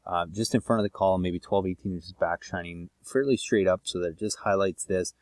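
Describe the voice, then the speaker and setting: A Canadian man explaining a landscape lighting plan in a relaxed, casual way, thinking aloud with many fillers. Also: sits low, brisk, dry recording, clean studio sound.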